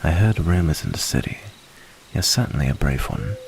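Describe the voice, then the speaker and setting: Casting: man to play mysterious soft-mannered stranger, very deep creaky voice, soothing and curious, ASMR audio drama. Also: big pitch swings, a little fast, slight background noise, exaggerated voice, low-quality recording. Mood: curious, intrigued. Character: gentle, curious male stranger lurking near the mansion. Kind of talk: ASMR roleplay acting.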